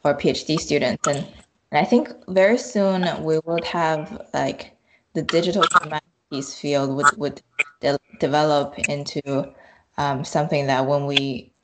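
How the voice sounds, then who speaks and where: Low-voiced woman on noisy call. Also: steady tone. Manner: tentative, halting, frequent "like". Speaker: podcast panel guest. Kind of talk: casual discussion. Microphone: internet call. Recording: clearly noisy call audio with clicks.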